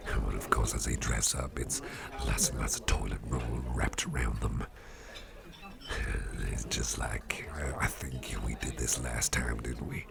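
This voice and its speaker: A man playing a friendly, rambling boss in an ASMR roleplay, chatting personally to the listener in a deep, gravelly voice. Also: steady tone, heavy background noise, full character voice. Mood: chummy. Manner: rambling, chummy, halting, repeats phrases. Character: friendly, rambling male boss at a Halloween party. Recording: music playing behind the voice.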